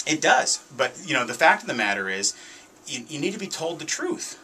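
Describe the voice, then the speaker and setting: A man's voice: on the high side, big pitch swings, normal speed, sharp tone, slightly rough. A man hosting a talk radio show, giving commentary in an emphatic, conversational way and often repeating his points. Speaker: radio show host, realtor.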